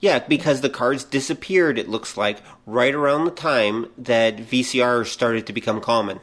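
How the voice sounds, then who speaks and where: A middle-aged man co-hosting a podcast, asking and responding casually in a measured, reflective way. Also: mid-range voice, fairly bright tone. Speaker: podcast co-host. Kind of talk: casual conversational questioning.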